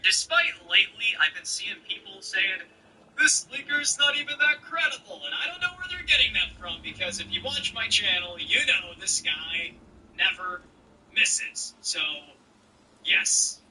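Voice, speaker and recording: A man's voice: high-pitched, animated, moderate pace, sharp tone, husky, rough recording. A man giving casual video game news commentary as a YouTuber, informal and slangy, speaking straight to his viewers.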